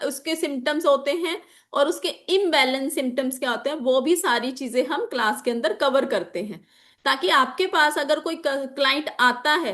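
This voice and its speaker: A woman's voice: sits high, a bit bright. A woman with a sore throat teaches an informal online class, explaining with examples, repeating key phrases and mixing in English terms. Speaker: teacher of an online class on chakras and magical oils. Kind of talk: informal spoken lesson with examples.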